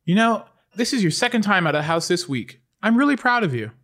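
A man's voice: sits high, big pitch swings, fast talker, fairly bright tone, exaggerated voice. A man playing an affectionate, weary mother with a doting, wry manner in a comedy table read.

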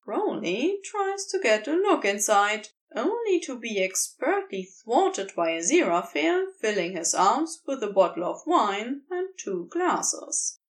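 Slow, very lively higher-pitched woman narrator. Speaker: podfic reader. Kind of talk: scripted story narration.

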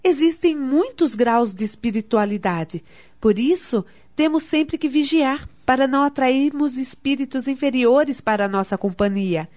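A woman's voice: sits high, normal speed, darkish tone, lightly acted. A woman with a Brazilian accent playing a devout, proud spiritist in a radio soap opera, speaking in an earnest, formal, didactic way. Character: devout, proud, helpful spiritist daughter of a matron. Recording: dull lo-fi radio drama.

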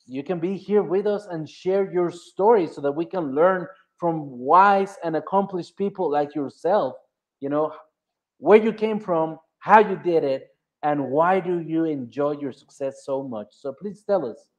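Encouraging male interviewer, slightly high voice. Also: a little slow, darkish tone. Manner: encouraging, repeats words. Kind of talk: interview introduction. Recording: muffled lo-fi audio.

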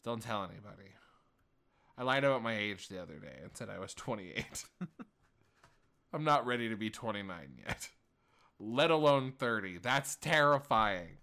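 A man's voice: on the low side, measured pace, long pauses. A man in his late twenties in casual, rambling chat, often breaking off and restarting. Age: 20s. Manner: rambling, animated, self-interrupting.

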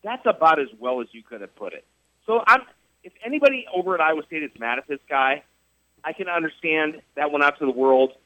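A man with a high, animated voice argues his point on sports radio, repeating himself and hammering rhetorical questions. His voice comes through dry and slightly dull, like a studio feed. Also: brisk, no room sound. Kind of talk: heated sports radio talk.

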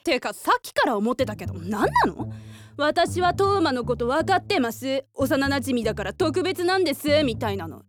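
Snarky jealous girl, radio drama, high and really animated, needling everyone. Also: normal speed, faint music behind. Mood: irritated. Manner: sarcastic, needling. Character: sharp-tongued, jealous, blunt young woman. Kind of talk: Japanese radio drama acting.

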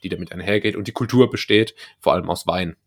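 A man's voice: low-pitched, fast talker. A young man from the Palatinate with a Palatinate accent, chatting loosely and jokingly as a podcast co-host. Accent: Palatinate German. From Palatinate, Germany. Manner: loose, joking, trails off and restarts. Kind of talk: casual banter. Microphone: laptop setup.

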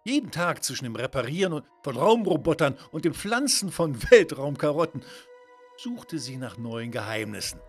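A man narrating a children's audio story from a script in a playful, expressive, theatrical way. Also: on the high side.

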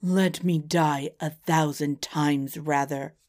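A woman voicing a lovelorn, noble storybook king who pleads earnestly in a drawn-out, melodramatic way. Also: sits low, fairly even intonation, darkish tone. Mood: anguished. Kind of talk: storybook character voice.

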